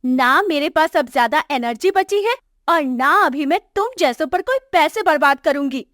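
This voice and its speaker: A woman's voice: high voice, lively intonation, moderate pace, a bit bright, no room sound, clean studio sound. A woman voicing an arrogant young working daughter-in-law in a moral story, scornful and complaining. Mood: contemptuous, irritated. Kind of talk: acted moral story dialogue for animation.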